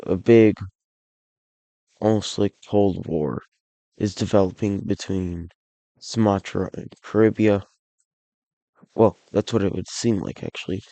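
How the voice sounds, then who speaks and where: A man narrating his alternate-history map series in a loose, rambling way, sounding a bit raspy and under the weather, often restarting and correcting himself. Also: on the low side, a little slow, pauses often, mellow, dry recording. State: unwell, a bit hoarse.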